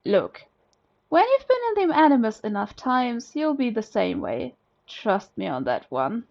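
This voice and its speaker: A woman's voice: a little high, sing-song, unhurried, gravelly, darkish tone, highs cut off, full character voice. A woman voicing a friendly, chatty male bruiser of a prison inmate in a story, breezy and familiar. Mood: earnest, knowing.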